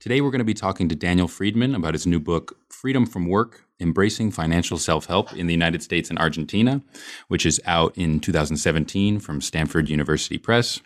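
Male host, very low voice, earnest academic podcast intro, some filler words. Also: a little fast. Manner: earnest, with frequent ums and uhs. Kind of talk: scripted introduction then interview questions.

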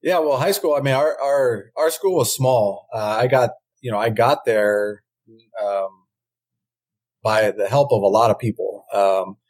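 Guest from Southern California, man in his forties, lowish voice, talks in halting, restarting ramble. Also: a little mellow. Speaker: guest, former baseball pitcher. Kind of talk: casual podcast interview.

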